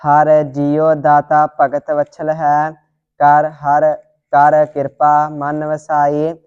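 A student reciting scripture line by line in a steady, deliberate devotional monotone. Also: mid-range voice, smooth. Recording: dull lo-fi audio.

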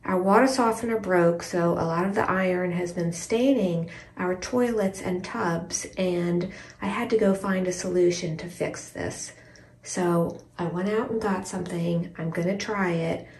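A woman in her forties chatting casually in an expressive, emphatic way, as a home cleaning vlogger. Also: fairly low pitch, measured pace. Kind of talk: casual vlog chat and narration while cleaning. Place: small tiled bathroom, indoors. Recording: roomy bathroom echo, distant mic.

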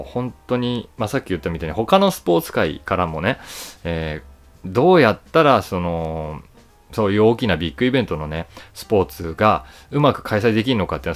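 A man in his forties talks casually to camera in a low voice. His intonation moves around a lot as he explains things conversationally, leaning on key words for emphasis. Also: sing-song, a little fast. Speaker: voice actor and YouTube host. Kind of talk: casual solo commentary to camera.

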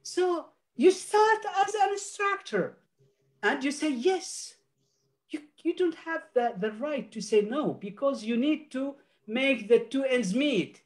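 An older man whose first language is Arabic speaks on a video call from a home office. He talks in a halting, earnest way, full of restarts and fillers, as a panelist giving his remarks. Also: extremely high pitch, lively intonation.

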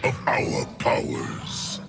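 An actor voicing an eerie undead collective being in a video game, solemn, threatening and declamatory. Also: male voice, extremely slow, over music. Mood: ominous. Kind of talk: video game fight taunts.

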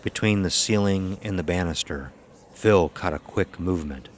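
A man's voice: deep voice, normal speed, slight background noise. A man reading a scripted horror story as its narrator. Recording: music behind the voice. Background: music.

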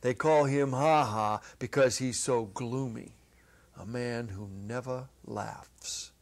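A middle-aged man reads a story dramatically. His pitch is medium, his pace is slow and deliberate, and he stretches words for theatrical effect. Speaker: story reader. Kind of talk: dramatic literary reading. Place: indoor set with holiday decor. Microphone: clip-on lapel mic.

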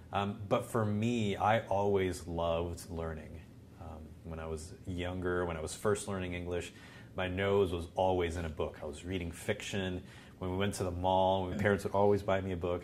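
A man's voice: sits low, slight background noise. A man in his thirties from Puerto Rico, a native Spanish speaker, giving thoughtful answers as a seminary professor in a seated interview in a living room. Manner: thoughtful, gesturing with both hands while speaking. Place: living room by a large window.

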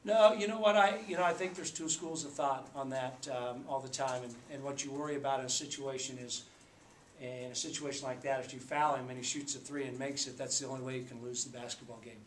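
A man in his forties, a basketball coach, speaking at a postgame press conference in a subdued, halting way with many fillers. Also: medium pitch, fairly even intonation. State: subdued after a loss. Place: small press room indoors. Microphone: table mic. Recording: echoey room, faint background hum.

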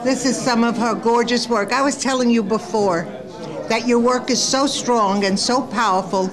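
A woman's voice: fairly high pitch, low-quality recording. A woman hosting a gallery event, chatting with artists on a handheld mic. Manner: chatty, warm compliments, quick interjections. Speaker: host. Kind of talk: casual on-camera interviews. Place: indoor art gallery at an evening event. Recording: crowd chatter and music behind.

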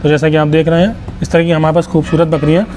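A man's voice: on the high side, level tone, fast, darkish tone, a little hiss or hum. A young man with an Uttar Pradesh Hindi accent, a goat farm owner showing his goats and quoting prices in a casual walkthrough, talking like a salesman and leaning on repeated fillers. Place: outdoor goat farm pens.

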